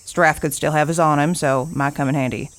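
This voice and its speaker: Here is an actress as a gruff older woman, a park warden with a low-pitched voice, recorded very dry. She is bossy and folksy, handing out colloquial orders in audio drama style. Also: steady tone, brisk, no room sound, natural voice. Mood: firm, instructing.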